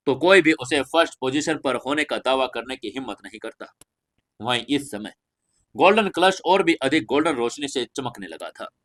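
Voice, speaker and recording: A man's voice: mid-range voice, fairly bright tone. A man reading a scripted fantasy audio story as narrator, with emphatic, dramatic storytelling delivery.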